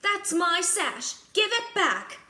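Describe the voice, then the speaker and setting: A woman voicing a spiteful, demanding young stepsister from a fairy tale, shouting bossily. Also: very high-pitched, very animated, slow, reverberant, pitched above the performer's own voice, heavily acted. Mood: spiteful, furious.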